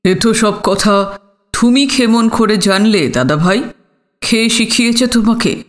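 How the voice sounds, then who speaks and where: A man playing an elderly, affable, fussing gentleman in an audio story, with drawn-out, deliberate phrasing. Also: high voice. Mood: curious. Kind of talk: audio story dramatic acting.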